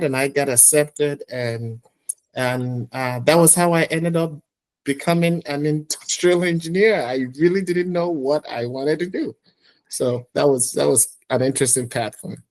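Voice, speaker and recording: A middle-aged man from Nigeria, a podcast guest telling his career story in a reflective, measured way with many fillers. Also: medium pitch. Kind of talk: conversational interview storytelling.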